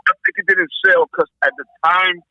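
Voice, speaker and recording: A man on a podcast panel with a raspy voice, arguing casually about music in an animated, slangy way. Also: high-pitched, normal speed, dry recording, highs cut off. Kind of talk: casual debate about hip hop.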